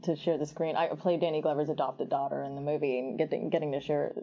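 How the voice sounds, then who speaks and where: Deep-voiced young woman, gravelly and laughing. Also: fast talker, highs cut off. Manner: laughing, animated storytelling with many restarts. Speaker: actress guest. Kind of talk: casual interview chat.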